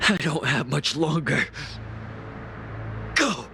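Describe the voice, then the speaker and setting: A pained, dragging voice of a dying game soldier. Desperate warnings are cried out over clear background noise. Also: male voice, a little high, lively intonation, takes it slowly, heavily acted. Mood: pained, urgent. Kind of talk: video game character acting.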